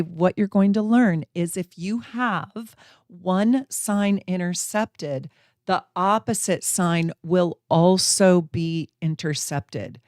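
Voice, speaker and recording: Brief: middle-aged American woman, astrology host, chatty and excitable, low-ish voice, dry recording. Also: no room sound. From United States. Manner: enthusiastic, chatty, repeats phrases for emphasis. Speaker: astrology teacher and channel host. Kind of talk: casual astrology lesson to camera.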